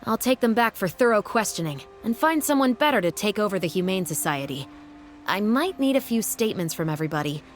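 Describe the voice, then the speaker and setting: A woman's voice: medium pitch, very animated, fairly quick, a little hiss or hum, natural voice. A woman voicing a dutiful young law officer in a video game, earnest and businesslike. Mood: polite, businesslike.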